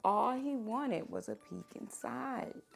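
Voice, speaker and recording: Slow-paced woman reading a children's story. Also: a little high, creaky, husky, faint music behind. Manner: deliberate, prompts young listeners with questions. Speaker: teacher reading children's books aloud. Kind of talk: expressive read-aloud storytelling for children.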